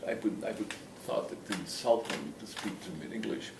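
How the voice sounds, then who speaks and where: A man's voice: fairly low pitch, brisk. An older man with a slight Latvian accent, reminiscing casually in a conversational, self-deprecating way as an interviewee. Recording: echoey room, distant mic, noisy background.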